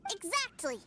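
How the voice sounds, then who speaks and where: A woman voicing an eager, innocent little boy in a video game, with a childish, earnest, excitable manner. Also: very high-pitched, background noise, exaggerated voice. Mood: excited. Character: eager, innocent little boy who adores his big brother. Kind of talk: animated video game voice acting.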